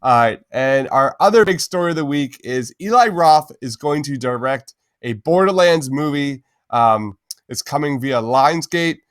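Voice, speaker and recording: A man's voice: mid-range voice, expressive, measured pace, dry recording, clean studio sound. A man hosting a podcast, giving opinions in informal, emphatic fan chat. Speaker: podcast host.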